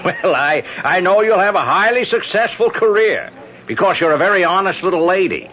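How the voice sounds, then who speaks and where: A man's voice: high-pitched, animated, moderate pace, bright, forceful tone. An actor playing an aging, cynical male congressman in a 1970s radio drama, folksy and chuckling with a world-weary tone. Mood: wistful. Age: older. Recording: dull vintage radio broadcast.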